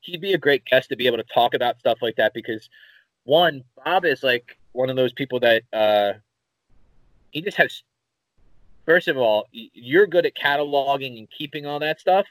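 A man with a Philadelphia accent, co-hosting a podcast in casual chat, telling rambling, animated stories and swearing casually. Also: medium pitch, moderate pace, no room sound, low-quality recording. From Philadelphia area, USA. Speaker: podcast co-host.

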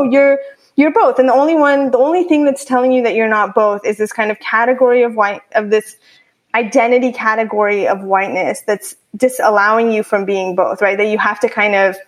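A young adult woman in casual discussion, speaking haltingly with many fillers and restarts. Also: on the high side.

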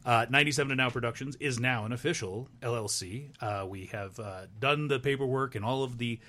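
A man appearing as a guest on a radio show, explaining his company's plans in a measured, businesslike way with frequent fillers. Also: medium pitch, pushed and bright. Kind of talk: casual radio interview.

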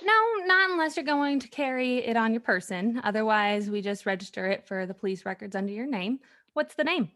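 A breezy gun shop clerk, played by a woman in a radio play. The voice is fairly high and swings up and down briskly, all casual salesmanship. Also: lively intonation, fairly bright tone.

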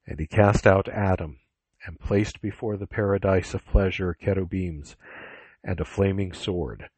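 Low voiced guy narrating bible, breathy, solemn and measured, dry recording. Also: a little mellow, dry recording, rough recording. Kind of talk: dramatised scripture narration.

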